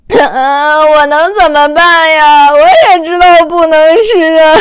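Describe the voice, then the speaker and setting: High-pitched, whiny pregnant young wife. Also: female voice, slow. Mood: sobbing, pleading. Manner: wailing, sobbing, whiny. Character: tearful pregnant young wife, guilty, pleading, childishly emotional.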